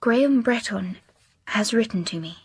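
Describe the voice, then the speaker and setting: An actress playing a lovestruck, anxious young gentlewoman in a period drama, confiding earnestly in formal, breathy phrases. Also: on the high side, lively intonation, normal speed. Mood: adoring. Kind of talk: period audio drama acting.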